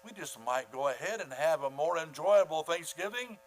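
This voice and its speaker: A man playing a fussy, falsely gracious school principal with a pompous, formal, halting delivery in a radio comedy. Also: on the high side, animated, lightly acted. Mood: self-pitying, pompous. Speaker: actor in a community radio play. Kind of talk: old-time radio comedy acting.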